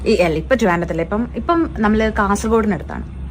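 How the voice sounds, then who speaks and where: A woman's voice: mid-range voice, lively intonation, fairly quick. A woman with a Kerala accent chatting casually in a phone conversation. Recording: noisy clip with vehicle ambience.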